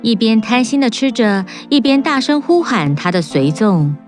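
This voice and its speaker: A woman narrating a children's fairy-tale cartoon in a clear storybook manner. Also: a little high, lively intonation, moderate pace, darkish tone. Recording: narration over prominent music.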